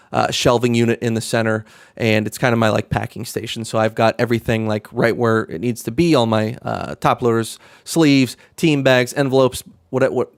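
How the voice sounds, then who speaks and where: A young man hosting a casual live stream, talking in a rambling, monotone way with many fillers and restarts. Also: mid-range voice, smooth, no room sound, studio quality. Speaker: live stream host, card seller.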